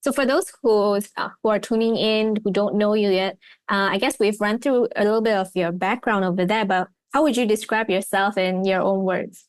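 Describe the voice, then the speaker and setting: A woman's voice: mid-range voice, normal speed, clear, resonant tone, no echo. A young woman hosting a podcast interview, chatty and friendly, with many fillers. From Malaysia. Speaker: podcast host. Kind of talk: casual interview chat.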